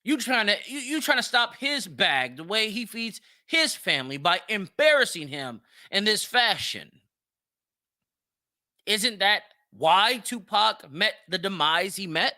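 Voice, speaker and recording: An American man with a high, very forceful voice hosts a solo commentary show. His pitch moves around a lot as he talks. He is blunt, slangy and profane, leaning on repetition and rhetorical questions. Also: sing-song, measured pace. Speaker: podcast host. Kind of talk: opinionated solo commentary on celebrity news.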